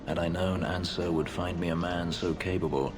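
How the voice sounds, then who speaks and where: An actor playing a brooding, guarded elf fugitive in a video game, speaking tersely and formally in a gravelly voice. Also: male voice, low-pitched, flat delivery, normal speed, close to an everyday voice. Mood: dryly grateful. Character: brooding male elf fugitive, former slave, guarded and vengeful. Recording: music behind the voice. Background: game music.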